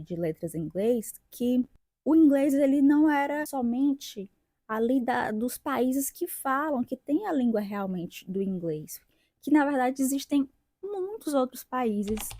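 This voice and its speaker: A woman in her twenties from Brazil, an English teacher and linguist, talking about her own experience in a reflective vlog with pauses and restarts. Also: a little high, dark tone, no echo. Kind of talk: casual personal vlog.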